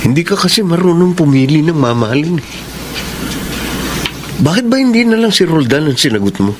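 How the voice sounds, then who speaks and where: An actor playing a frank, caring friend in a Filipino radio drama, direct and persuasive. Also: male voice, a little high, sing-song, fairly quick. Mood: concerned, urging. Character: frank, caring male friend giving love advice. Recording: clearly noisy radio drama recording.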